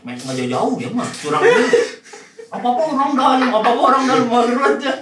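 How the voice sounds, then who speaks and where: A man's voice: extremely high pitch, huge pitch swings, fast, fairly bright tone, far from the mic. A young man hosting a casual group chat in a teasing, playful manner. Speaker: podcast host. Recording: roomy, off-mic, faint noise.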